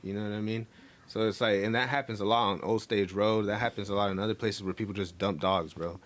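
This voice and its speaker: Dude, lowish voice, earnest casual podcast rant, says bro a lot. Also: male voice, steady tone, quick pace. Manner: heartfelt, repeats "bro" and "you know what I mean". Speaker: podcast co-host. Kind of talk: casual chat.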